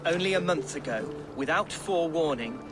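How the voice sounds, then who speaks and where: High, animated young nobleman, played by a man. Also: moderate pace, over music, lightly acted. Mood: earnest, grave. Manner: florid, wordy, apologetic. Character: boisterous young nobleman, eager amateur poet, earnest and flustered. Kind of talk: video game character acting.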